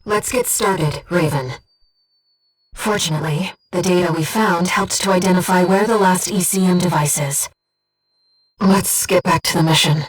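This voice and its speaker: A woman voicing a gentle, earnest, disembodied female companion in a video game, guiding a pilot with composed, precise callouts and briefings. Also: lowish voice, normal speed, breathy edge, distant mic, low-quality recording. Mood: composed, informative. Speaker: mission support companion.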